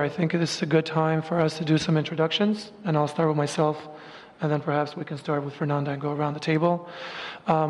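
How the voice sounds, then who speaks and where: A man opens and steers a formal group meeting. He talks in a fairly high, level voice, measured and full of small ums. His words ring out with the long reverb of a large meeting room. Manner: measured, filler-heavy, steady delivery. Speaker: meeting facilitator.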